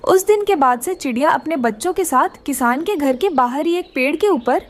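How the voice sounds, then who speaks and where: A woman's voice: sits high, expressive, normal speed. A woman narrating a scripted children's cartoon story.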